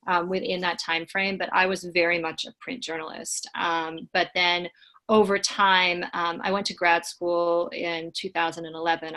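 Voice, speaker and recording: A woman's voice: lowish voice, gravelly, pushed and bright. A woman in her forties from Washington State, whose first language is Swedish, chatting casually on a video call and often repeating words as she restarts her sentences. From Washington State, USA. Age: 40s. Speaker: community head and call host.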